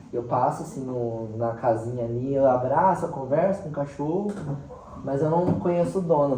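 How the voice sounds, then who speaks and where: A man's voice: mid-range voice, warm tone. A man with a central-western Brazilian accent talking casually and expressively as a teacher being interviewed, sprinkling in little acted quotes. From Mato Grosso do Sul, Brazil. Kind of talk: casual, animated storytelling in interview. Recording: roomy, distant mic, faint background noise.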